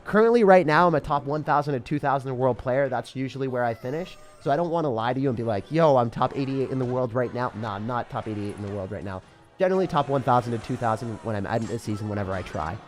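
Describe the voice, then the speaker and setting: A young man from the Boston area streaming a game live, chatting with viewers, excitable and laughing often. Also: mid-range voice, quick pace. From Boston area, United States. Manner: excitable, laughs often, reads chat questions aloud. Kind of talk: live-stream gameplay commentary.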